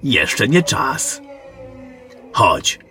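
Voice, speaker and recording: A man playing a seasoned, wry general and monster hunter in a fantasy audio drama, measured and commanding. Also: mid-range voice, sing-song, sharp tone, faint music behind, exaggerated voice. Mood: cautious, measured.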